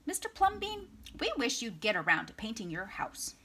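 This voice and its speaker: A woman's voice: a little high, wildly swooping pitch, normal speed, pushed and bright, husky, full character voice. A woman voicing fussy, scandalized gossiping neighbors from a storybook, exaggerated and disapproving. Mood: fed up.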